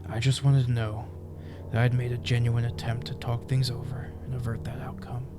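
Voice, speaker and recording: A grim masked vigilante, voiced by a man in an animated film, speaking low and level, almost flat in pitch, at times dropping near a whisper. His measured, earnest delivery sits under a clear wash of film music. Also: natural voice. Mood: solemn.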